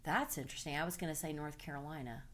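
Low-voiced woman from the South. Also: quick pace, a bit bright. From American South. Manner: chatty, frequent agreeing interjections. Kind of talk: casual conversation.

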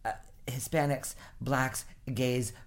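Very deep-voiced blunt New woman. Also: slow pace. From Rhode Island, US. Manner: blunt, animated, swears freely. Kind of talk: casual political chat.

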